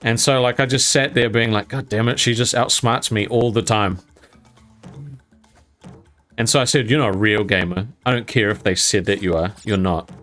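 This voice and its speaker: A man's voice: on the low side, moderate pace, a bit bright, soft background music. A young man casually telling a story while gaming, rambling and laughing often, with some mild swearing. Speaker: gaming channel host.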